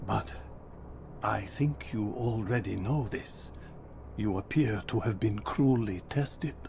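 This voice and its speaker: A male actor playing an ancient, benevolent vampire mentor with solemn, measured, formal speech in a fantasy game. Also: fairly low pitch, creaky, noisy background. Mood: solemn, gentle. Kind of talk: dramatic fantasy game cutscene acting. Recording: echoing chamber, some background ambience.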